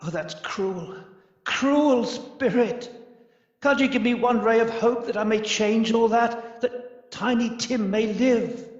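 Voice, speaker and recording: An elderly miser in an old-style radio play. His voice is high for a man and drawn out very slowly, full of stammers and repeated pleas. It rings through a long, cavernous reverb. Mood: anxious, pleading. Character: elderly miser, terrified and pleading, desperate to repent. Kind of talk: 1930s-style radio drama acting. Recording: muffled recording with long echoing reverb.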